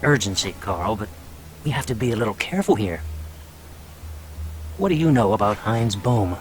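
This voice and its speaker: An actor playing a chatty, persuasive American intelligence officer in a video game, briefing in a conversational way with pauses. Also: male voice, on the low side, lively intonation, a little hiss or hum, close to an everyday voice, poor audio. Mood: briefing, wry.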